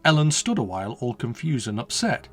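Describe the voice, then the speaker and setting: A man, the author, reading his own rhyming storybook poem aloud with an expressive, sing-song delivery. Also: mid-range voice, brisk, soft background music.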